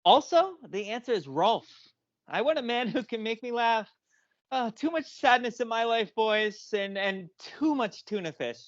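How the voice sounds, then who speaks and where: A woman's voice: on the high side, animated, moderate pace, dry recording, lightly acted. A woman playing a chatty, bitter married video store owner in a comic audio play, rambling and swearing freely. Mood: friendly, flirty. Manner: rambling, repeats herself, profane. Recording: dull lo-fi audio.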